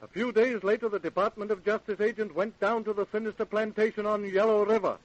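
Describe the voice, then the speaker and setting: A man's voice: sits high, fairly even intonation, fast, dull audio, close to an everyday voice. A man playing the announcer-narrator of an old-time radio drama, grave and declamatory in his scripted delivery. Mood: ominous. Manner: portentous, declamatory.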